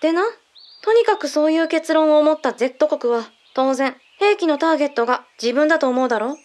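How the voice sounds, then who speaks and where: An actress voices a female intelligence agent with a notably high voice. She is brisk and matter-of-fact, laying things out in blunt, mannish phrasing in the manner of a radio drama. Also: expressive, a little mellow. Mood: matter-of-fact, explanatory. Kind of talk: Japanese radio drama acting.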